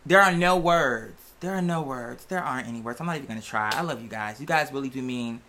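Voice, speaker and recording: A man's voice: mid-range voice, fast, bright, forceful tone, a little hiss or hum. A man chatting casually and affectionately as a vlog host, earnest and often repeating his phrases for emphasis.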